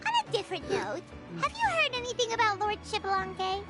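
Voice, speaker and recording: A woman's voice: very high-pitched, sing-song, brisk. An actress voicing a small, eager companion girl in a video game, bouncy and caring. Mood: curious. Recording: game audio with loud music.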